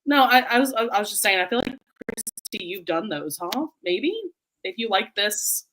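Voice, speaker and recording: A woman's voice: mid-range voice, animated, normal speed, fairly bright tone, a little hiss or hum, low-quality recording. A woman chatting casually and emphatically as host of a livestream book talk, often peppering her sentences with "like". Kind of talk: casual group chat. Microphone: video call livestream.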